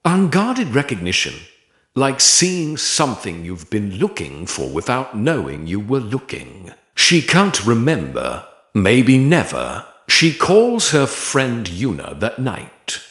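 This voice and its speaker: A man narrating a scripted romance story in a measured, dramatic way, in short phrases. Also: medium pitch, wildly swooping pitch, fairly bright tone, roomy sound. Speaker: audiobook narrator.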